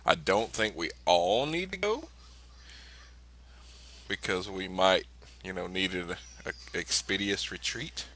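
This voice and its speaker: A man's voice: deep voice, animated, measured pace, pauses often, bright, forceful tone, a little hiss or hum. A man talking casually and informally as a player in a tabletop role-playing game, often feeling his way through a thought. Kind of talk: casual group chat during a tabletop game.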